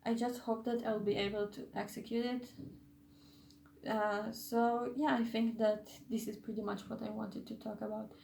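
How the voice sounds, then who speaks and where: A woman's voice: on the high side, flat delivery, moderate pace, gravelly, warm tone, echoey room, slight background noise, rough recording. A young woman who is not a native English speaker, chatting in a casual vlog, hesitant and often losing her train of thought. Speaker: YouTuber.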